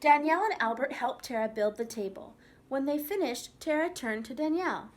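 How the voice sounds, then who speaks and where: A woman teacher reads a picture book aloud to young children in a sing-song, animated way. Her voice is high and swoops up and down, and she stops now and then to question the class. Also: fairly quick, noisy background. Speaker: preschool teacher.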